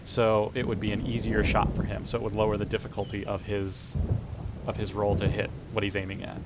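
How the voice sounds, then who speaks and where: A man with a slightly low voice chats as a player at a tabletop game. He explains and questions in a dry, measured way. Rain and thunder ambience is clearly heard behind him. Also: flat delivery, heavy background noise. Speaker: player in a tabletop sci-fi role-playing game. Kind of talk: casual game-table chat.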